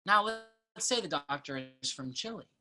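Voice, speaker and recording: A woman reading the part of an earnest, thoughtful college student in a play, speaking in a measured, naturalistic way. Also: fairly low pitch, animated, pushed and bright. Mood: earnest, persuasive.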